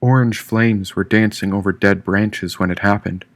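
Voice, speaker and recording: A man reading first-person fiction as an audiobook narrator, in an expressive, dramatic storytelling manner. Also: on the low side, moderate pace. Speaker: author reading own audiobook. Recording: echoey room, distant mic.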